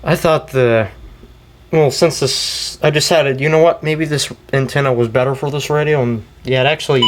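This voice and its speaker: Casual male video host, mid-pitched, halting and rambling show-and-tell delivery, faintly noisy amateur recording. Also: fairly even intonation. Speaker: video host and weather radio collector.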